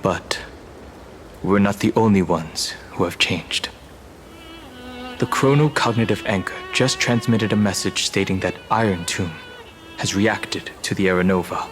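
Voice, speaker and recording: A man as a calm, reserved young hero. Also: fairly low pitch, lightly acted. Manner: measured, composed, matter-of-fact. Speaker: game character. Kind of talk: game cutscene voice acting. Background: orchestral music.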